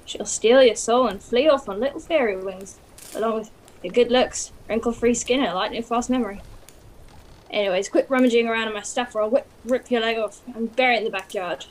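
A woman playing a morbid, deadpan old grandmother. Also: on the high side, brisk, slight background noise, lightly acted, poor audio. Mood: wry. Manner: deadpan, sardonic quips. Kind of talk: stage duologue.

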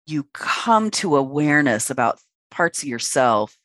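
A man's voice: medium pitch, very animated, unhurried, a bit bright, no echo, clean studio sound. A transmasculine man in his late forties, a podcast guest speaking frankly and reflectively at length with fillers. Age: late 40s. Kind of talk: candid interview conversation.

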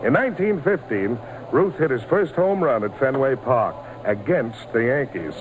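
A man's voice: mid-range voice, lively intonation, moderate pace, natural voice. An actor playing a folksy, enthusiastic father telling baseball tales to his son in an old-time radio drama. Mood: proud, eager. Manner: folksy, relishing. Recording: noisy old radio recording.

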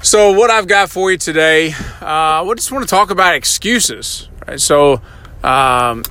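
A middle-aged man with a slightly raised pitch and a hoarse voice talks earnestly through a solo podcast. He slows down on key points and keeps checking in with a "right?". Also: animated, pushed and bright. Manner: earnest, repeats words and asks "right?". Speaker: podcast host. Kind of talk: solo motivational podcast talk.

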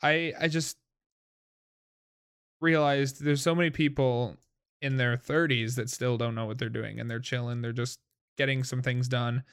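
Young adult host, smooth voice, stumbling quickly. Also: medium pitch, fairly even intonation. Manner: quick, stumbling, playful talk. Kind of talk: casual chat.

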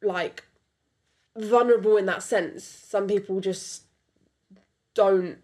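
A woman's voice: medium pitch, fairly even intonation, slow, dark tone. A young woman with a British accent, French her first language, hosting a podcast in a rambling casual chat full of fillers. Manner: rambling, many fillers and restarts. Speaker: podcast host.

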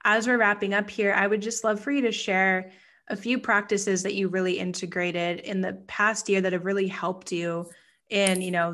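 A woman's voice: lowish voice, a bit bright. A woman hosting a podcast interview, chatting casually and backing up her guest with frequent "yeah" and "mm-hmm". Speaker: podcast host.

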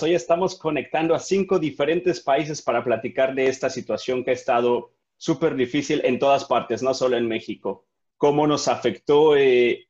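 A man's voice: mid-range voice, a little mellow, highs cut off. A man with a Mexican accent hosting a casual group podcast call. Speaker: podcast host.

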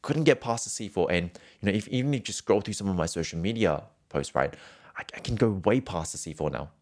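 A singing teacher speaks to camera in a very low, rich voice. He talks earnestly and circles back on his points, often tagging them with "right?" to keep the listener with him. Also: expressive, a little fast. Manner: earnest, repetitive, keeps adding "right?". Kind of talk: casual coaching talk to camera.

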